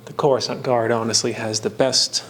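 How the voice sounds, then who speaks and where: A man with a mid-pitched voice hosts a hobby craft video. He talks through the steps informally, in short halting phrases with jokey asides and bits of trivia. Clear ambient noise sits behind his voice. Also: flat delivery, fairly bright tone. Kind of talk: casual tutorial narration with fun facts.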